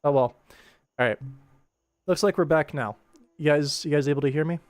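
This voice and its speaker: A man's voice: a little high, moderate pace, no echo. A man hosting a casual work livestream as an animation director, explaining informally and thinking aloud. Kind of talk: casual livestream commentary while working.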